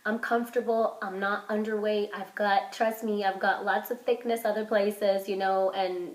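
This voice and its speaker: A woman vlogging casually while sick with a cold, her voice hoarse and congested. She rambles with lots of fillers and restarts, keeping an upbeat, self-encouraging tone. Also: medium pitch, level tone, normal speed. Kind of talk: casual vlog chat. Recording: roomy echo.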